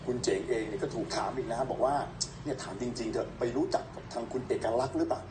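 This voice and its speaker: Guy doing chatty news, swoopy mellow voice, talks right to viewers, bit echoey. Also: medium pitch, animated, quick pace. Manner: colloquial, addresses viewers directly. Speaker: news presenter. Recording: roomy echo, distant mic, faint noise.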